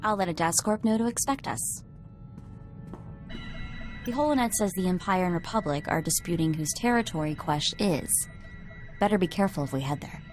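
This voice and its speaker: A woman's voice: lowish voice, lively intonation, normal speed, long pauses, lightly acted. A young woman playing a perky, savvy sidekick in a video game, speaking in a casual, eager way. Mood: businesslike. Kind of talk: video game cutscene acting. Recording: music behind the voice.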